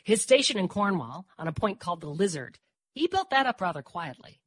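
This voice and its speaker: A woman's voice: lowish voice, very animated, brisk, husky, no echo. A woman voicing a roguish, hard-drinking newspaperman with florid, grandiose, teasing speech for an audiobook. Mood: conspiratorial, excited. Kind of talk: audiobook character voice.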